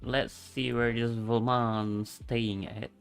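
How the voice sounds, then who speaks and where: A man's voice: mid-range voice, level tone, takes it slowly, gravelly, smooth. A man doing casual, reactive live game commentary as a streamer, full of slang. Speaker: streamer, VTuber. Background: game music.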